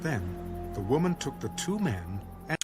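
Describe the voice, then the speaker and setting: A man's voice: medium pitch, very animated, moderate pace, a little mellow. A man reading scripted narration for a dramatized audio Bible. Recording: music under the voice.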